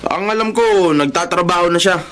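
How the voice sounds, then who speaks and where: Man playing high-voiced, cocky Filipino youngster. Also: fairly quick, background noise, natural voice. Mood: cheeky. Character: cocky, boastful young friend of a boy. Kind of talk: Filipino radio drama acting.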